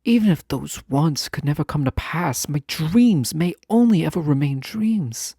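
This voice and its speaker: A man playing a lovestruck, wistful fantasy nobleman in a romantic audio roleplay, speaking formally and tenderly, with halting phrases. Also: on the high side, animated, dark tone, slightly rough, dry recording, clean studio sound, heavily acted. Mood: wistful, longing. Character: lovestruck fantasy nobleman, wistful, teasing, formerly held captive.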